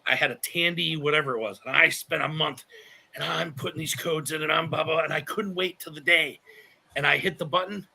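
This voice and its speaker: A man's voice: fairly high pitch, fairly even intonation, fairly quick, gravelly, bright, forceful tone. A man in his forties hosting a casual livestream chat, rambling and correcting himself as he goes. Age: 40s. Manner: rambling, self-correcting, laughs often. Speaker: channel host.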